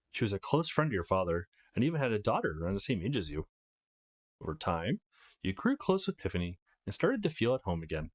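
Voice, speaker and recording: Casual man with lively, swinging intonation. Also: medium pitch. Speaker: let's player host. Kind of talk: casual let's play commentary, reading game text aloud.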